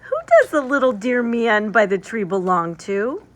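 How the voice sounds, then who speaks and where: A woman's voice: medium pitch, lively intonation, normal speed, lightly acted. A woman voicing a tearful, protective little storybook girl who pleads indignantly. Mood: eager.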